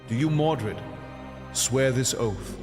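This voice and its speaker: A man acts out a regal king of legend in an audio drama. His mid-pitched voice is commanding yet kindly, with measured, stately phrasing and music playing behind him. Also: lightly acted. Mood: solemn.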